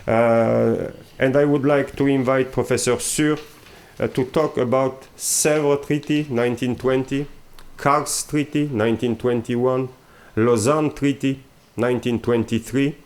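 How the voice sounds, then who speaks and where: A man moderating an academic symposium, introducing the next speaker in a halting way with many fillers. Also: mid-range voice, steady tone, a little mellow. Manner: halting, filler-heavy delivery.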